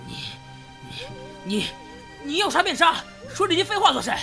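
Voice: extremely high pitch, sing-song, normal speed, creaky, bright, forceful tone, soft background music, full character voice. An actor playing a coarse, cursing, defiant bandit ruffian in a martial-arts audio drama. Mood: flustered defiance.

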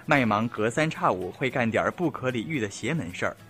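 Male narrator, medium pitch, animated delivery, scripted drama narration, music behind. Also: brisk.

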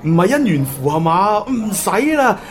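A man's voice: sits high, sing-song, moderate pace. A young man with a Hong Kong Cantonese accent, acting a cheeky, sweet-talking grandson in an audio drama with playful, exaggerated delivery. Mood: teasing, protesting.

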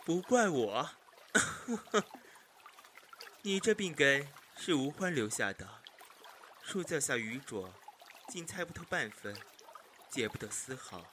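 A man playing a haughty, jealous young gentleman of ancient China in a period audio drama, with mocking, theatrical emphasis. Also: medium pitch, sing-song, slow, pauses often, a little hiss or hum, full character voice. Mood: hurt, bitter.

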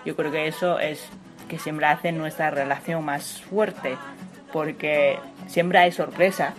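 Young Chinese woman speaking Spanish. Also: low voice. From China. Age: 20s. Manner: thoughtful, searching for words, light humor. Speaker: interviewee, sports journalist. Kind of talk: personal interview.

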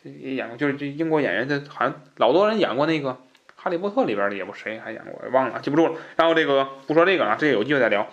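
A man with a mid-pitched voice presents as a podcast host. He explains in an informal, conversational manner, wandering into asides and repeating phrases to drive points home. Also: animated, very fast, dull audio. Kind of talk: informal explanatory book talk.

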